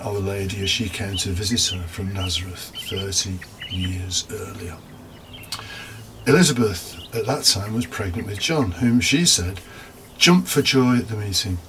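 Low-voiced man preaching a homily. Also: a bit bright, rough recording. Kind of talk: homily, part scripted with asides. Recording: faint background noise.

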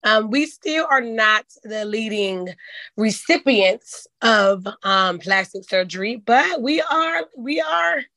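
Hoarse woman hosting a chat show. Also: fairly high pitch, expressive, slow pace, pushed and bright, dry recording. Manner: folksy and candid, says "child". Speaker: podcast host. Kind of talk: casual chat.

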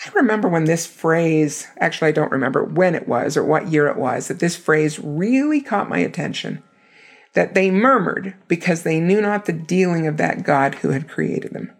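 A hoarse woman giving an earnest, emphatic scripture lesson. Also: low-pitched, animated, moderate pace. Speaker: scripture teacher.

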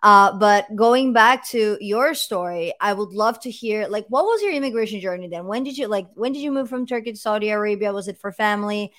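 A woman's voice: medium pitch, lively intonation, fairly quick, few pauses, fairly bright tone. A woman hosting a podcast, asking long rambling questions in a chatty way and saying "like" often. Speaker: podcast host. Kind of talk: interview questions, casual chat.

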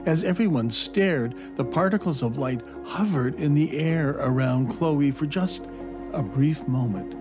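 A man narrating a scripted children's adventure story in a measured, dramatic storytelling manner. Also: on the high side. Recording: narration over clear background music.

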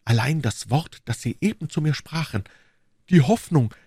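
A man's voice: a little high, expressive, moderate pace, dark tone, husky, dry recording, clean studio sound, pitched above the performer's own voice. A man voicing a passionate, lovestruck English duke from a classic novel, pleading and fatalistic in an ardent, courtly, declamatory manner. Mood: earnest.

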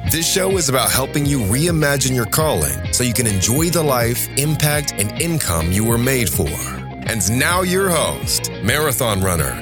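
Lively male announcer over music. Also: mid-range voice, normal speed. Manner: promotional announcer delivery. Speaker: show announcer. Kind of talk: scripted show intro over music.

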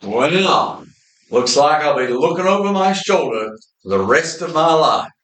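A man's voice: on the high side, animated, a little slow, a bit bright. Man acting as weary radio detective, roomy echo. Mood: weary, wry. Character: weary wisecracking male supernatural detective. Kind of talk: amateur old-time radio drama acting. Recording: roomy, echoey amateur recording.

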